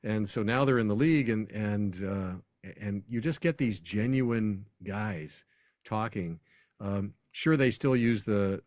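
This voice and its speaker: A man's voice: fairly low pitch, darkish tone, no room sound, studio quality. An American man speaking as an announcer guest in a casual sports interview, telling anecdotes in a conversational way.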